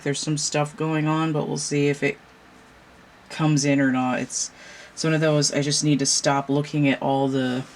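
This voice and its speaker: A woman hosting a casual tarot reading vlog at home, talking in a halting, meandering way with a stuffy nose. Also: low voice. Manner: halting, many fillers, slow and meandering. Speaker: tarot reader and channel host. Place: home room with a fan running. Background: fan noise.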